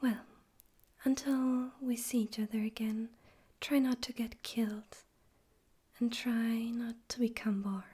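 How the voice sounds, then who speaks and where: A woman voicing a smug, theatrical male demon overlord in an ASMR roleplay, in a soft breathy whisper with a deliberate, teasing, condescending manner. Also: fairly high pitch, steady tone. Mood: smug, fond.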